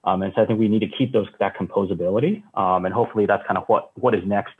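A man in his thirties giving an unscripted keynote from home over a video call, speaking haltingly with many fillers and restarts. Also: on the low side, husky. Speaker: company founder giving a keynote. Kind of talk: casual unscripted talk. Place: room at home. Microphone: earbuds on a video call. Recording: tinny phone-like call audio.

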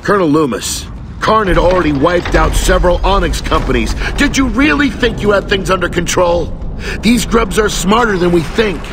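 Blunt soldier, slightly high. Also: male voice, animated, normal speed, noisy background. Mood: defiant. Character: cocky soldier and tech tinkerer giving testimony. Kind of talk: video game voice acting.

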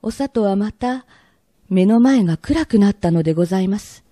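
A woman tells a period tale as its narrator. Her voice is mellow and mid-range, her phrasing polite, and the sound is very dry. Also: mid-range voice, animated, normal speed, no echo.

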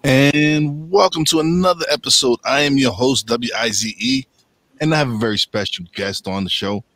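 A man's voice: mid-range voice, moderate pace, fairly bright tone. A man hosting a casual podcast interview, speaking in a loose, eager way and repeating his words. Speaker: podcast host.

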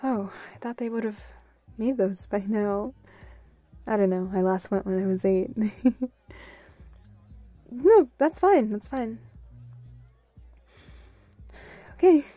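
A woman playing a bubbly, playful, affectionate young girlfriend in an intimate roleplay audio, giggly and given to quick repeated phrases. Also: medium pitch, no room sound, soft background music. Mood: nervous, reassuring. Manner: giggly, breaks into short excited repeats.

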